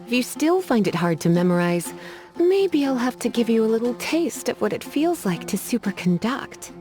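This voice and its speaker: A languid, sultry witch librarian for an anime game. Her voice sits fairly high with very lively swoops, coy and teasing. Faint music plays behind her. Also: normal speed. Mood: scolding, teasing.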